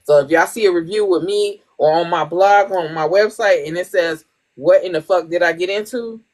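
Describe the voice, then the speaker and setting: A woman's voice: on the low side, brisk, a little mellow. Playful woman podcast host. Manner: blunt, playful reactions. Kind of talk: casual podcast chat.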